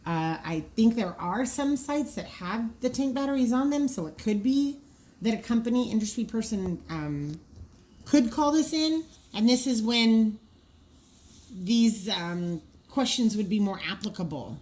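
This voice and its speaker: A woman's voice: fairly high pitch, expressive, a little slow, darkish tone. A woman giving an informal walkthrough as a trainer, practical and explanatory, often thinking aloud. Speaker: trainer presenting draft dispatcher training cards.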